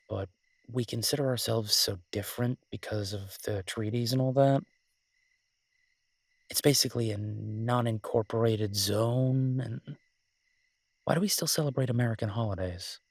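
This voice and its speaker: Man acting a husky, low-voiced smitten werewolf boyfriend. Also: moderate pace, dry recording. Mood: relaxed, affectionate. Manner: hesitant, rambling, tender and teasing. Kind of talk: intimate romantic audio roleplay, conversational.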